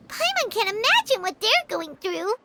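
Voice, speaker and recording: A woman voicing a tiny fairy-like girl companion with a very high, squeaky voice. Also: sing-song, slow pace, noisy background, full character voice. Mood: worried. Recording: game audio with loud music.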